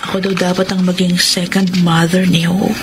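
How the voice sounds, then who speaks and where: A woman playing a gentle, caring young aunt in a Filipino radio drama, tender and emotional. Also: lowish voice, little pitch movement, normal speed, heavy background noise, natural voice. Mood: sorrowful.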